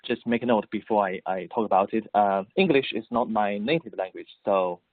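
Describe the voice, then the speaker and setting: A man from Beijing whose first language is Mandarin, speaking English with a Mandarin Chinese accent as an interview guest. He answers haltingly and repeats words as he searches for phrasing. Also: fairly low pitch, no echo. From Beijing, China. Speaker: guest composer and musician. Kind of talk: interview answers over the phone. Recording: tinny dry phone line.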